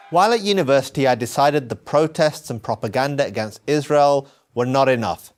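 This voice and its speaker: A British man delivering a scripted personal testimony as narration, earnest and emphatic, with deliberate phrasing. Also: mid-range voice. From England.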